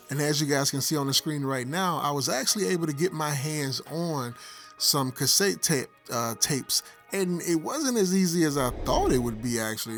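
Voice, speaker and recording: Middle-aged male reviewer, mid-pitched, explanatory and casual, addressing viewers over a clear music bed. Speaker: tech product reviewer. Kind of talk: casual product review and demonstration. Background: background music.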